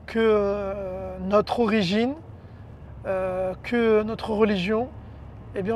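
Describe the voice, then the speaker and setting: A man from France with a French accent, giving personal testimony in a halting, hesitant way. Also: sits high, darkish tone. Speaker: interviewee. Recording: clear traffic and vehicle noise.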